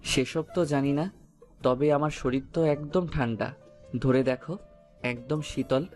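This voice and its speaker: A man's voice: a little high, fairly even intonation, a little slow, darkish tone, close to an everyday voice. Man playing a coy, mischievous young groom. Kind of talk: romantic audio story acting. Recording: music bed under the voice. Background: music.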